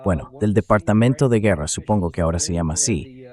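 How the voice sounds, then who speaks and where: A man hosting a political commentary show, explaining his points in a measured, emphatic way. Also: fairly low pitch, expressive, a little mellow. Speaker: show host. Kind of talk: political commentary to camera, translated read-aloud.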